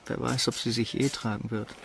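A man's voice: mid-range voice, little pitch movement, a little fast, creaky, noisy background, lightly acted. An actor playing a practical, affectionate father-to-be in a radio drama, down-to-earth and teasing. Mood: fond, teasing. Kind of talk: German radio drama acting.

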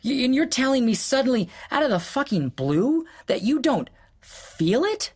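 An actor playing an exasperated, sarcastic husband in an audio drama, hurt and profane in a late-night argument. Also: male voice, very high voice, very animated, moderate pace, breathy edge, full character voice. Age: 40s.

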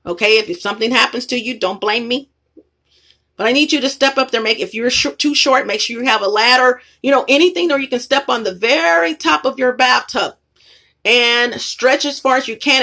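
A woman's voice: sits high, bright, forceful tone. A woman vlogging in her apartment, rambling and repeating herself as she talks straight to her viewers while filming with a phone. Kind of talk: rambling casual talk to camera. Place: apartment room, indoors. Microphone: phone camera pointed at the ceiling.

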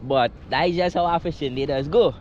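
A man's voice: on the high side, brisk, darkish tone, muffled recording. A young man with a Trinidadian accent, vlogging outdoors on a beach and in a forest, chatting in a laid-back way and often asking "right?". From Trinidad and Tobago. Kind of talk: casual outdoor vlog. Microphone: action camera on a chest mount. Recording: outdoor wind and surf noise.

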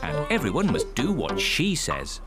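A man's voice: medium pitch, huge pitch swings, moderate pace, loud music behind the voice. A man as the genial narrator of a preschool cartoon, telling it in simple storybook sentences.

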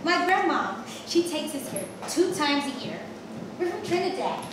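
Woman acting a chatty twelve yr old trinidadian girl, high voice, proud of herself, stage reading in a really echoey room. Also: sing-song, moderate pace. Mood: cheerful, chatty. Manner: artless, boastful, giggly. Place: wood-paneled mansion room. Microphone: distant camera microphone. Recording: very echoey room, distant mic, faint noise.

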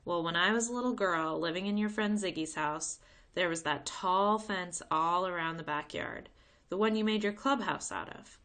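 A woman reading the part of a folksy, gently firm grandmother in a children's storybook. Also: on the low side, normal speed. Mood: reminiscing. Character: warm, weary grandmother telling of old times.

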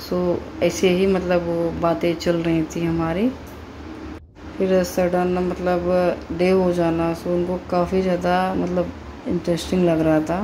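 A woman's voice: fairly low pitch, flat delivery, slow pace, noisy background. A woman and mother narrating her family's train journey in a loose, chatty travel-vlog style, mixing in English words and fillers.

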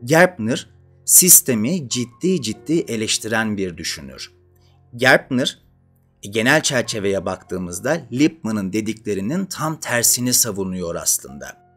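A man of about thirty hosts an educational video essay, speaking emphatically and deliberately, with rhetorical questions to the listener. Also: fairly low pitch, sing-song, a bit bright. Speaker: host of an educational channel. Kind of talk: explanatory video essay to camera. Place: small indoor studio with dark curtain backdrop. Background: faint background music.

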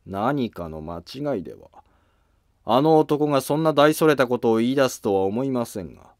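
A man's voice: mid-range voice, animated, normal speed, a little mellow, no room sound, clean studio sound, natural voice. A man playing a cautious senior court minister who talks in a guarded, polite way.

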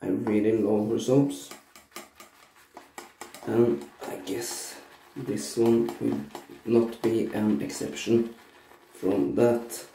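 A man's voice: fairly low pitch, gravelly, a little hiss or hum. A man in his thirties with a cold and a stuffy nose, reviewing shaving soap casually in his bathroom while shaving on camera. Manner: slow, relaxed, frequent uh and um pauses. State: congested from a cold, sore throat. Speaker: shaving vlogger. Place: small bathroom indoors. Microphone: camera at arm's length. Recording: echoey bathroom.

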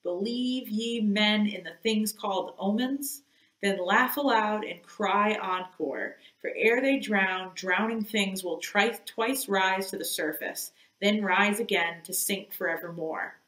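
A woman's voice: medium pitch, slow pace, a bit bright. A woman reading an audiobook, voicing an obsessed old male sea captain who declaims with grim, imperious force, in an echoey room. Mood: commanding.

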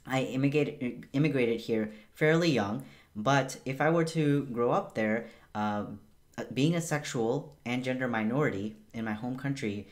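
A man's voice: medium pitch, unhurried. A young man born in Bangladesh, a physician, giving a casual and earnest health explainer from a small room at home. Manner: casual, chatty and earnest, with emphatic repeats. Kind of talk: educational health vlog.

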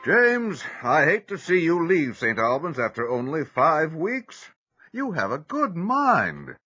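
A man's voice: a little high, very animated, takes it slowly, bright, forceful tone, breathy edge, muffled recording, full character voice. An actor playing a formal, encouraging male academy schoolmaster in an audio drama. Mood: encouraging, regretful. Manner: formal, declamatory.

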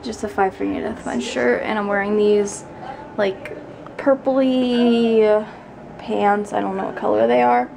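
A woman's voice: mid-range voice, fairly even intonation, a little slow, creaky, darkish tone, far from the mic. A young woman chatting casually to a handheld camera in a hotel room, narrating her plans for the day. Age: young adult. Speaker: vlogger. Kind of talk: casual vlog chat. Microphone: handheld camera at arm's length. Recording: camera audio with background noise.

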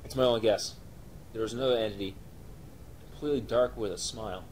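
Man playing grim police investigator, horror found-footage, lowish voice, halting and solemn, faint rumbling noise. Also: a little mellow, natural voice. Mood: shaken, grim. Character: grim, shaken male police officer investigating monsters. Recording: noisy, rumbling amateur recording.

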